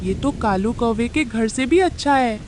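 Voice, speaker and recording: A woman's voice: fairly high pitch, animated, a little slow, darkish tone, a little hiss or hum. A woman voicing a young bird child in a cartoon, full of childlike admiration. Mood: relieved, admiring. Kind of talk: Hindi cartoon voice acting.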